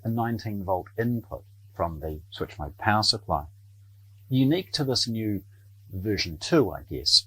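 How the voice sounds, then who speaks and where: A middle-aged New man presents a product in a measured, explanatory manner. His voice sits fairly low and is noticeably husky, with animated pitch movement. He speaks off the cuff, as a shop presenter would. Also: dry recording, rough recording. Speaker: presenter of an audio shop's product videos. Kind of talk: unscripted product unboxing commentary. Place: small indoor room lined with shelves.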